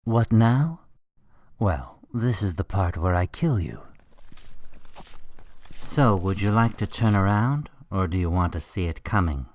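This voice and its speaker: An actor playing a smug, mocking criminal mastermind in a radio drama. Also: male voice, deep voice, expressive, measured pace, long pauses, darkish tone, no room sound. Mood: menacing. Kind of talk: radio drama villain acting.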